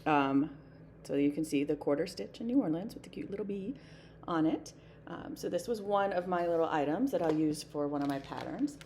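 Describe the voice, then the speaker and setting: Woman, hoarse, low-pitched, chatty and rambling, home desk. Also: expressive, brisk, darkish tone, a little hiss or hum. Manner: chatty, rambling, many ums, laughs often. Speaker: flosstube vlogger. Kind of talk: casual chat to camera.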